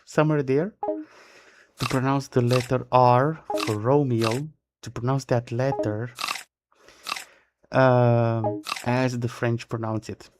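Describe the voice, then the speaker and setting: A man chatting casually while live-streaming a game, in English that is not his first language, since his native tongue is close to Italian. His pitch sits in an ordinary middle range, and he loops back over his own words. A steady hiss of noise and clicking sits under the voice. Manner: chatty, repeats and rephrases himself.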